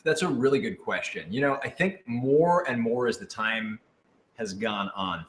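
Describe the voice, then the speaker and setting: A man chats casually as co-host of a live Q&A, his medium-pitched voice echoing in the room. He comes across as eager and self-effacing. His answers wander as he goes. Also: normal speed. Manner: enthusiastic, rambling, self-effacing. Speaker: co-host and voice actor. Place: indoor room, on a couch. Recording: echoey, roomy sound.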